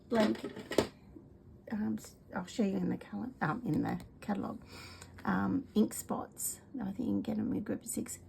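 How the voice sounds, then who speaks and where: A middle-aged Australian woman with a congested, cold-worn voice, chatting casually as she demonstrates craft products, rambling with many ums and often dropping to a soft whisper. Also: mid-range voice, creaky, noisy background. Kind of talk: casual craft video chat.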